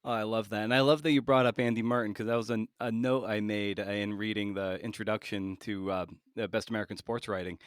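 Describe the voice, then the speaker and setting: A man with a fairly low voice, recorded dry and close, hosting a conversational interview. He speaks casually and haltingly, often restarting a phrase as he works out the thought. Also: fairly even intonation, smooth, no room sound. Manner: halting, repeats words while forming thoughts. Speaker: podcast host, interviewer.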